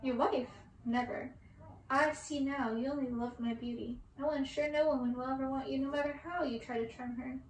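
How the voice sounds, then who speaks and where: A woman's voice: high-pitched, steady tone, brisk, roomy sound, far from the mic, a little hiss or hum, lightly acted, poor audio. A woman voicing a beautiful young enchantress, vengeful, solemn and commanding, in a storybook reading. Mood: scornful.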